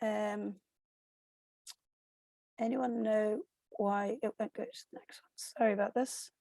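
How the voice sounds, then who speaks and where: An Irish woman, hoarse from a cold, giving a steady online presentation over a video call and explaining with frequent ums. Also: medium pitch, slow pace, no room sound. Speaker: guest speaker.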